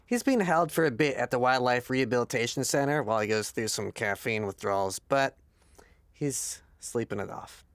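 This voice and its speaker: A very low, lively-pitched female voice acted by a woman plays an earnest park ranger. The ranger is polite and literal-minded, a little pedantic and flustered. It sounds like a dry studio comedy drama. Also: moderate pace, no echo. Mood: friendly. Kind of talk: comedy audio drama acting.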